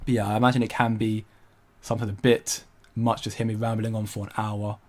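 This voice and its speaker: A man's voice: on the low side, little pitch movement, brisk. A young man hosting a casual crypto livestream, rambling and hesitant with frequent restarts while he reads out viewer comments. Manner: rambling, hesitant, many restarts, laughs often.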